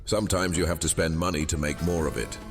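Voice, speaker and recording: A man playing an old, fading captive djinn in a fantasy audio drama, courteous and wheedling, with silky theatrical delivery and hesitant pauses. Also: rumbling low. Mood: wheedling, crafty. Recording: long cavernous reverb, music underneath. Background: music.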